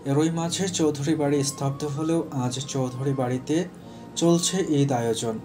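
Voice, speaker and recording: A man's voice: on the high side, normal speed, over music. A man narrating a scripted romantic audio story.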